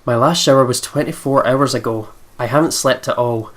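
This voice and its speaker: A man's voice: mid-range voice, a little fast. A man reading a visual novel aloud as a let's player, with sweary, joking asides. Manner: sweary, jokey.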